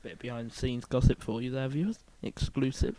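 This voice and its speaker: A man's voice: mid-range voice, brisk, mellow, no room sound. A man in casual comic banter as a co-host, dryly and politely prompting with short questions. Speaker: comedy co-host and interviewer.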